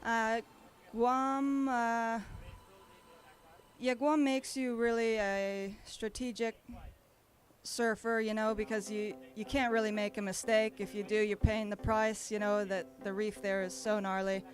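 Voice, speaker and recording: A woman from Australia giving a casual interview as a competing bodysurfer, relaxed and rambling with lots of fillers. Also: fairly high pitch, a little slow. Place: outdoor beach event. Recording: loud music behind.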